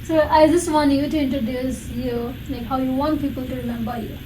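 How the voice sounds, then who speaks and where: Young woman host, high voice, casual chat, recorded from a distance with background noise. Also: fairly even intonation, fairly quick, dark tone. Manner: friendly, chatty, asks questions. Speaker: channel host. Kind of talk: casual podcast interview. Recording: echoey room from a distance, noisy.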